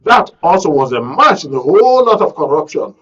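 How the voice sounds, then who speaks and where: Man, Nigerian accent, radio host, slightly high pitch, deliberate, rhetorical commentary, muffled lo-fi broadcast sound. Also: big pitch swings, a bit bright, breathy edge. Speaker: radio talk show host. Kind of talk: live current affairs commentary. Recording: dull, lo-fi broadcast audio.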